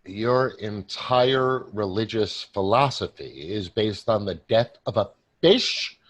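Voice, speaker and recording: Man acting a husky, sardonic dead friend. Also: on the low side, lively intonation, takes it slowly. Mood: dry, needling. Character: dead male friend, sardonic, exasperated, pushy but caring. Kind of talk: staged play reading.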